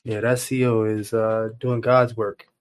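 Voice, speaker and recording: A man's voice: fairly low pitch, little pitch movement, normal speed, dry recording, clean studio sound. A man commenting in casual, slangy street talk with some swearing.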